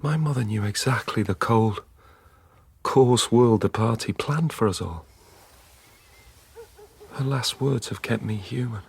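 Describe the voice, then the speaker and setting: An actor playing a weary, haunted middle-aged dissident in an intimate, brooding radio drama style. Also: male voice, mid-range voice, gravelly, breathy edge, full character voice. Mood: haunted, confessional. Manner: slow, halting, brooding. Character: weary, haunted male dissident confiding memories and fears.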